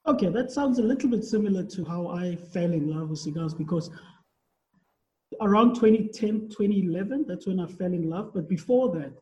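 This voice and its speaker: Podcast host guy, curious, kinda high but mellow voice. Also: expressive, fairly quick, lo-fi sound. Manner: curious, searching for words. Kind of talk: casual interview chat.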